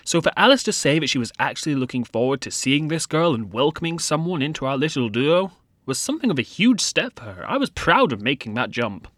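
A man's voice: on the high side, sing-song, fairly quick, a bit bright. A man playing a bullied, loyal teenage outsider, narrating a wry, confiding monologue in an audio drama. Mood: wry, earnest. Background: piano music.

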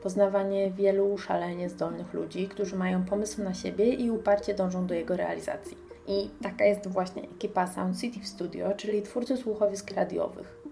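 A woman hosts a radio feature in a mid-pitched voice with noticeable vocal fry. She is enthusiastic and talks straight to her listeners. Music plays clearly behind her. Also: fairly even intonation, normal speed, hardly any pauses, dark tone. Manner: enthusiastic, addresses listeners directly. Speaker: radio show host.

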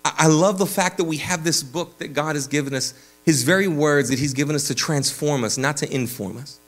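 A pastor preaching a sermon in a church, casual and energetic, with slang and frequent restarts. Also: male voice, fairly high pitch, a little fast. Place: church sanctuary.

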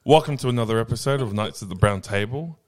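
A man's voice: lowish voice, fast, a little mellow. A man from New Zealand hosting a podcast in casual chat. He is playful, teases his co-hosts and laughs easily. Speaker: podcast host.

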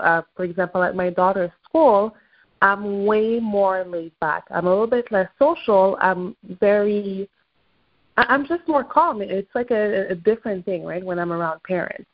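A woman's voice: medium pitch, highs cut off. A woman from Quebec with a Québécois French accent, a native French speaker, hosting an upbeat morning motivational call and coaching her listeners. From Quebec, Canada. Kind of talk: motivational talk.

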